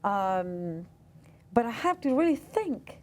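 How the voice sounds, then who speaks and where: Passionate woman host, high and mellow. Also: very animated, slow. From Hungary. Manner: passionate, emphatic, repeats her questions. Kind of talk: casual interview chat.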